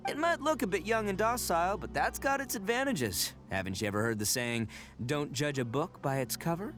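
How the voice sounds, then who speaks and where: An actor voicing a boisterous horned oni man, a cocky braggart with loud laughs. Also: on the high side, lively intonation, a little fast. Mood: bragging. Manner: boastful, loud laughs, brash. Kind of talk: game voice acting. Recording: game dialogue over music.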